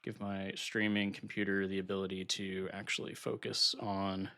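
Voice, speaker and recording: Nasal, gravelly low-voiced man streaming. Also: normal speed. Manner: relaxed, thinking aloud while setting up software. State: recovering from illness, slightly congested. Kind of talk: casual live-stream chat.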